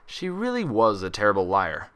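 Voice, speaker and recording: Male narrator for fan fiction audiobook. Lowish, bright and forceful, expressive read. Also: moderate pace, clear, resonant tone. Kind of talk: expressive scripted story narration.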